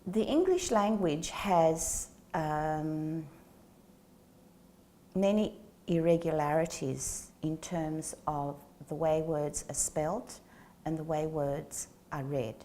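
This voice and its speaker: A woman in her sixties, a guest in an interview, explaining things in a slow, measured way on a lapel mic in a small studio. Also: deep voice, dark tone. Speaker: guest, educator. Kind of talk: interview answers. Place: small studio set with plants.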